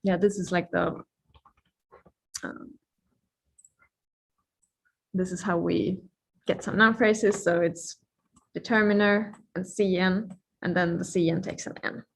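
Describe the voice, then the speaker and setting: A woman who is a native Finnish speaker gives an informal live-coding lecture, thinking aloud with playful asides and pauses mid-thought. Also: on the low side, fairly even intonation, gravelly, rough recording. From Finland.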